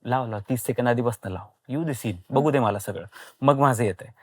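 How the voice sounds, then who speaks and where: A man's voice: mid-range voice, fairly quick, dry recording, studio quality. A man explaining his craft conversationally in an interview, emphatic, repeating phrases for stress and mixing in English words. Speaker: guest, voice and dubbing actor.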